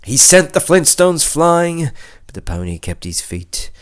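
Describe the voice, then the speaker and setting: A man with an Australian accent reciting a bush ballad theatrically, with emphatic swells and hushed line endings. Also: fairly high pitch, sing-song, a little slow. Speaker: poetry reader.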